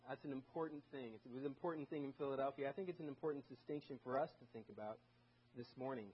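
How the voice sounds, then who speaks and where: A man's voice: medium pitch, fast, breathy edge, dull audio. A man who grew up in Germany, a guest preacher giving a casual, conversational sermon full of stories and self-deprecating asides. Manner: chatty, self-deprecating, many restarts and fillers.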